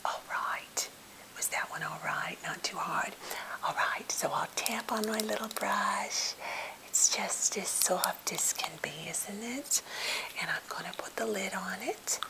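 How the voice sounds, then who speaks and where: A woman playing a timid, slightly odd captive who is eager to please, speaking in a halting, gentle, polite whisper for an ASMR role play. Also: mid-range voice, natural voice, low-quality recording. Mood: soothing, fussy. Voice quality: breathy whisper with fry.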